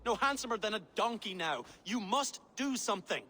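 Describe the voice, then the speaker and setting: A man's voice: high-pitched, expressive, brisk, bright, forceful tone, full character voice. A man playing an offended, complaining villager in a video game cutscene, indignant in manner. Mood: outraged. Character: aggrieved, offended male villager demanding redress.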